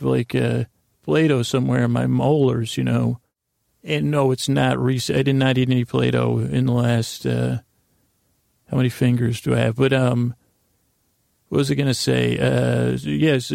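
Creaky-voiced man rambling on a podcast. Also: mid-range voice, a little mellow, dry recording, studio quality. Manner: meandering, self-deprecating, full of tangents. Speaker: sleep podcast host. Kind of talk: rambling monologue.

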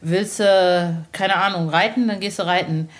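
A woman's voice: lowish voice, steady tone, measured pace. A woman chatting casually in a candid, informal and colloquial way. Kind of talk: casual chat.

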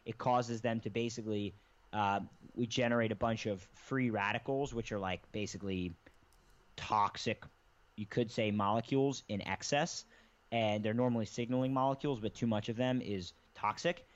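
A man's voice: on the low side, fairly even intonation, normal speed. A man explaining things in a rambling, casual way as a podcast guest, often correcting himself mid-sentence. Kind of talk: casual conversation. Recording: slightly dull lo-fi sound.

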